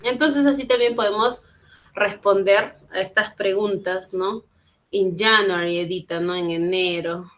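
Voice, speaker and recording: A woman's voice: mid-range voice, unhurried, fairly bright tone. A woman teaching an online English class, patient and encouraging, repeating and translating phrases. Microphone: computer mic on a video call.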